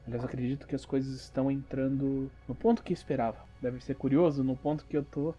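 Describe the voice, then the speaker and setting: A man's voice: medium pitch, steady tone, a little fast, dark tone, lo-fi sound, faint music behind, close to an everyday voice. A Brazilian man playing a polite scientist presenting live from a space station, earnest and a little hesitant. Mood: polite, expectant.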